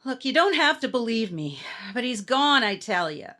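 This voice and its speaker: An actress playing a frightened young wife trapped with a gunman, breathless and quick to panic, in 1950s radio drama style. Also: fairly high pitch, big pitch swings, bright, forceful tone. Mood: weary resigned.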